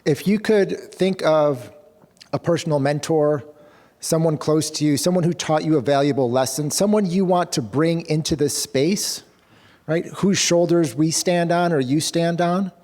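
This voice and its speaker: A man in a measured, reflective tone guiding a workshop group into a break. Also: fairly high pitch, a little mellow. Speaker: program director. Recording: hall reverb from a room mic.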